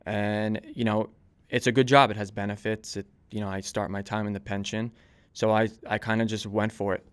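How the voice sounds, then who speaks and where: A man in his twenties with a clean, smooth voice on the low side. He talks as a podcast guest, telling stories informally and feeling his way through phrases in a casual conversational style. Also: level tone, brisk. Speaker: podcast guest, volunteer firefighter and police dispatcher. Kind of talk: casual conversational interview answers.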